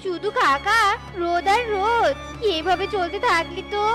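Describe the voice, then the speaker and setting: A fretful, complaining villager from an animal cartoon , acted by a woman. The voice is extremely high and lamenting, over clearly audible music. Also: unhurried. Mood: anxious lament. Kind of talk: cartoon voice acting. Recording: music behind the voice.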